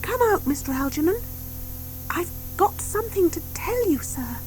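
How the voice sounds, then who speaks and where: A woman puts on a young witch girl from a children's storybook. She is earnest and anxious, speaking high and urgently with animated, pleading inflections. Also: moderate pace, faint music behind, rough recording. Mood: urgent, hushed.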